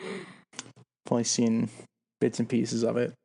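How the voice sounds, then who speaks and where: A man's voice: mid-range voice, monotone, slow pace, gravelly, mellow, husky. A man co-hosting a podcast in casual banter, joking in a dry, self-deprecating way. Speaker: podcast co-host.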